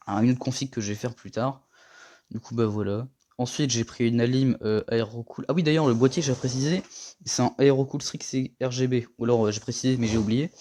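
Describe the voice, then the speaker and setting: A young man giving a casual tour of his gaming setup, rambling and slangy, a little hoarse from a cold. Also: mid-range voice, warm tone. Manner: rambling, slangy and self-mocking. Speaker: gaming vlogger.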